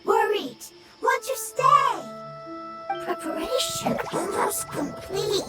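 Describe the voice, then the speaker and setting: A woman voicing a small anxious fungus creature in a video game, speaking in halting, clipped, broken phrases. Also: very high voice, animated, over loud music, exaggerated voice. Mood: worried. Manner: halting, clipped one- and two-word phrases.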